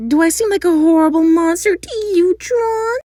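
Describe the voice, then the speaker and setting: A woman's voice: very high voice, normal speed, mellow, no room sound, clean studio sound. A woman voicing a cheery, playfully teasing teenage monster girl in an amateur fandub. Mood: teasing, coaxing.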